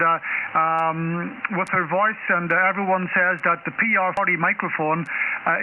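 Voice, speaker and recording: A man talking politely in a ham radio contact, courteous and full of fillers, heard over a shortwave radio link. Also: high voice. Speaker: amateur radio operator. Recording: tinny noisy shortwave radio.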